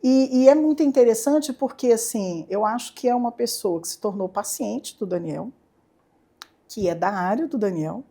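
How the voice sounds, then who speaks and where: Middle-aged woman, brazilian portuguese accent, warm mellow tone, lively storyteller. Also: fairly high pitch, normal speed, breathy edge. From southeastern Brazil. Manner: lively storytelling, playful jokes. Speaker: neurologist and university professor, guest. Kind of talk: casual interview chat. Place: podcast studio.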